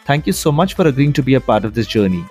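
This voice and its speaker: A man's voice: medium pitch, very animated, quick pace, darkish tone, slightly rough, faint music behind. A man hosting a podcast, introducing and questioning his guest in an effusive, enthusiastic way. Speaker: podcast host. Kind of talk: interview hosting.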